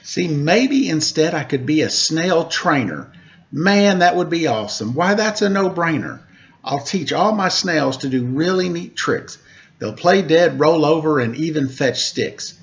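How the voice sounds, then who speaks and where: A man voicing an excitable, boastful schoolboy in a storybook, with a grandiose, theatrical manner. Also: fairly high pitch, measured pace, a little hiss or hum. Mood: excited, showy. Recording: dull lo-fi sound.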